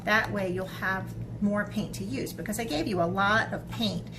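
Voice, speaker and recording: A woman art teacher talking to young children in an expressive, encouraging way, as in a lesson or picture book read-aloud. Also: mid-range voice, moderate pace, background noise. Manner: expressive, encouraging talk aimed at young children, with dramatic gasps.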